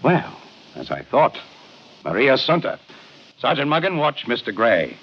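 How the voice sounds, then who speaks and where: An actor playing a methodical, probing homicide inspector in a vintage radio crime drama, questioning deliberately with hesitant pauses. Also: male voice, mid-range voice, wildly swooping pitch, heavily acted. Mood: accusing. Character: probing, methodical middle-aged male police homicide inspector. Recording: dull, noisy old radio recording.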